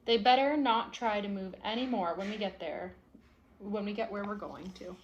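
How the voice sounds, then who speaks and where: A woman's voice: medium pitch, moderate pace, lo-fi sound, a little hiss or hum, voice pushed down, close to an everyday voice. A woman voicing a stubborn young storybook boy who refuses to move, speaking emphatically and defiantly. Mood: sulky, defiant. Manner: emphatic, defiant first-person storybook delivery.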